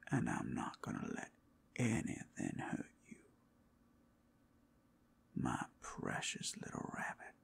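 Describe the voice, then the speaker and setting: A man playing a big bad werewolf as a tender, protective lover in an intimate ASMR roleplay, crooning soothingly in a gravelly, breathy half-whisper. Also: on the low side, darkish tone, exaggerated voice. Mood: soothing, doting. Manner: soothing, crooning, coaxing with pet names.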